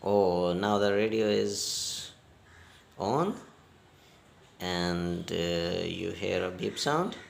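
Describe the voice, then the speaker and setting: A man giving a hands-on repair demonstration in halting, non-native English, step by step. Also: sits low, vocal fry, roomy sound, a little hiss or hum. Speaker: repair technician giving a tutorial.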